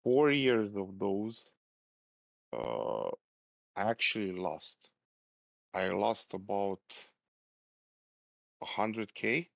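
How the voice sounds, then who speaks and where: A man from Romania, chatting casually as a trader, halting and repeating phrases for emphasis. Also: fairly low pitch, animated, gravelly, no echo. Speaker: trader taking part in a group voice chat. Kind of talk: casual chat, personal anecdote. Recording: dull, lo-fi voice chat audio.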